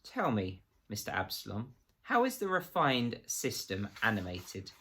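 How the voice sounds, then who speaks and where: A man voicing a softly menacing, coldly polite male official who questions with formal, conversational precision in a storybook reading. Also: on the low side, huge pitch swings, unhurried, breathy edge, exaggerated voice. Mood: cold, pressing.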